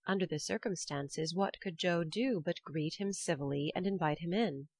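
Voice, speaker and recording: A woman's voice: lowish voice, normal speed, dry recording. A woman reading scripted storybook narration as an audiobook narrator.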